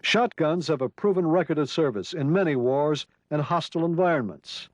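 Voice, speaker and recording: A man's voice: on the high side, moderate pace, dry recording. A man reading scripted narration for a training film. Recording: noisy 1990s training film soundtrack.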